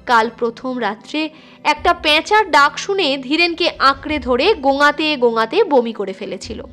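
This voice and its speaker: A woman with a high, bright voice narrates a literary tale. She reads as a scripted audiobook storyteller, with music playing behind her.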